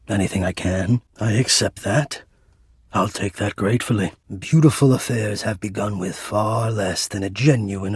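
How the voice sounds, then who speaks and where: Game role: smitten, timid thermostat-like machine, male. Very breathy, lowish voice; formal, halting, intimate, devoted. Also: a little mellow, no echo, clean studio sound. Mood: pleading, devoted. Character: shy, devoted, lovesick male home heating-and-cooling system. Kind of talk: dramatic romantic video game voice acting.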